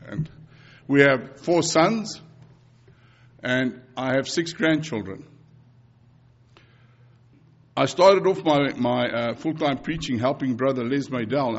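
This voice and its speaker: A man's voice: mid-range voice, muffled recording. A man in his fifties from South Africa with a South African accent, Afrikaans as his first language, preaching earnestly to a congregation with repeated phrases and frequent restarts. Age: 50s. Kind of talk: sermon and mission report.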